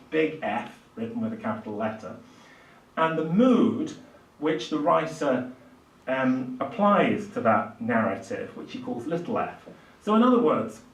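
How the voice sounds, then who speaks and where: An older man giving a public lecture off the cuff, in halting, stop-start phrases with emphatic stresses. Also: fairly high pitch. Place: small indoor lecture room at a lectern. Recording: echoey room, distant microphone.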